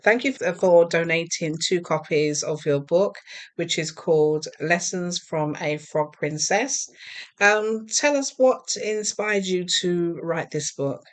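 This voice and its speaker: A woman hosting a podcast, delivering the intro and questions in a polished, measured way. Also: fairly low pitch, no echo. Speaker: podcast host. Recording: music playing behind the voice.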